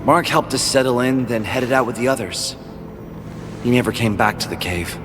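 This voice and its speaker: A man playing an earnest, protective young big brother in a video game, sounding soft-hearted. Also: medium pitch, moderate pace, music in the background, natural voice. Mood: earnest, worried. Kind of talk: video game cutscene acting.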